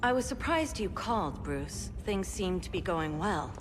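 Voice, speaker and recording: Woman as a confident heroine, mid-pitched, dry teasing tone, reverb. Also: animated, unhurried, background noise, close to an everyday voice.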